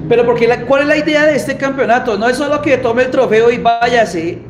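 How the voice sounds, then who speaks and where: A man's voice: extremely high pitch, fairly quick, a crowd in the background. A man in his thirties with a Colombian accent, hosting a casual live-stream chat in an informal, emphatic way. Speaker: host. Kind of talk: casual live-stream talk, announcing a championship. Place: small motorbike workshop, indoors. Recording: echoey room with clear background noise.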